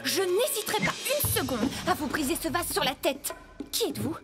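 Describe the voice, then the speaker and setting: Spirited, very high-voiced young lady. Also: normal speed. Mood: defiant. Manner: expressive, chattering, feisty. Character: spirited, talkative, bold young sister of the hero. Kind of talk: French animated series dubbing. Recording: music behind the voice.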